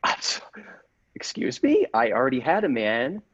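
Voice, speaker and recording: A man improvising an easily offended, halting widow in a comedy skit. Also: medium pitch, huge pitch swings, breathy edge, lo-fi sound. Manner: halting, indignant. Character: easily offended widow seeking her husband's obituary.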